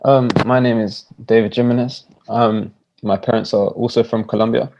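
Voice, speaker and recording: A man's voice: medium pitch, steady tone, moderate pace, gravelly, noisy background. A young man with a British accent talking casually as a podcast guest. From UK, born and raised. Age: young adult. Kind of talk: casual self-introduction and discussion. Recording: dull, lo-fi video call audio.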